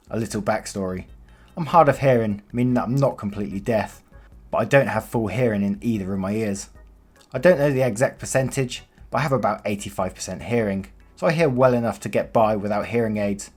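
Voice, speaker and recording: A man with a low voice reads stories aloud in a plain storytelling manner, dropping in casual asides. Soft music plays faintly behind him. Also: breathy edge. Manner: plain storytelling with offhand comments. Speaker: narrator of online stories. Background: faint background music.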